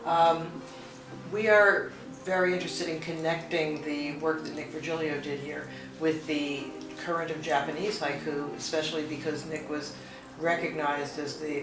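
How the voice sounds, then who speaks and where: An interviewer who introduces the guest and asks polite, measured questions. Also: mid-range voice, level tone. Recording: roomy echo, distant mic, music.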